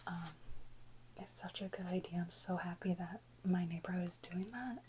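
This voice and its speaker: A woman whispering softly and close to the microphone in an informal, rambling ASMR chat with unhurried pauses. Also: fairly low pitch. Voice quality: soft unvoiced whisper. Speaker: ASMR video creator. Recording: close whisper with faint background noise.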